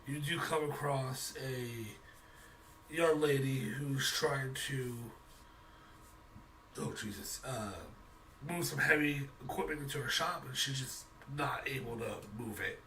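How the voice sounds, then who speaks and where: Bright-voiced man as halting game master. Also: mid-range voice. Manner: halting, searching for words. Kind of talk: improvised tabletop game narration. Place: online voice call. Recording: slightly distant mic, faint noise.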